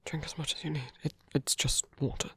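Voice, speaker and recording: A man playing a protective, gently teasing villain in an intimate ASMR roleplay, speaking in a soft, hesitant, breathy half-whisper. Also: on the high side, fairly even intonation, brisk, lightly acted. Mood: gentle, urgent concern. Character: male villain, protective, gently teasing, rescuing a wounded rival.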